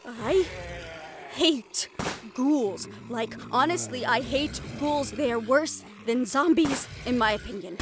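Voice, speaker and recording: A woman's voice: high-pitched, slow, very noisy. A woman voice-acting a tough young fighter in a fantasy action audio roleplay, with dramatic, sweary banter.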